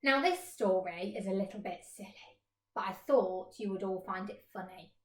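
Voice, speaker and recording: A woman's voice: medium pitch, normal speed. A woman teacher reading a children's story expressively in a roomy space.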